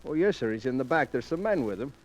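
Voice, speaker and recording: A man's voice: mid-range voice, animated, fast, lightly acted. An actor playing a plain-spoken, folksy gallery doorman in 1940s radio drama style. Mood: deferential. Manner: folksy, matter-of-fact. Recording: noisy 1940s radio recording.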